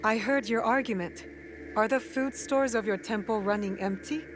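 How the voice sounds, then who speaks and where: A resolute young heroine played by a woman with a fairly high voice. She speaks directly and earnestly in game cutscene style, with music softly behind her. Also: moderate pace, close to an everyday voice. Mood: determined. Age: young adult. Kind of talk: video game cutscene acting.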